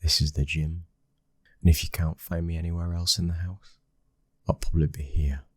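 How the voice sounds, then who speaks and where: A man acting as a dominant, devoted mafia boss's son with a British accent. His voice is extremely low and husky, kept to a narrow, restrained melody. He speaks intimately, as in an ASMR boyfriend roleplay. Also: little pitch movement, normal speed, dry recording. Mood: relaxed, affectionate. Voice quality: breathy, husky. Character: smooth-talking, loving, dominant young mafia boss's son. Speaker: audio roleplay voice actor. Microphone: close microphone.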